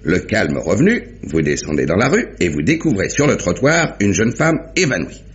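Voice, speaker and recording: Police inspector, genial, methodical, theatrical, extremely animated intonation, dull old radio drama sound. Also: male voice, fairly low pitch. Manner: deliberate, theatrical recapping. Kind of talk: 1950s French radio mystery acting.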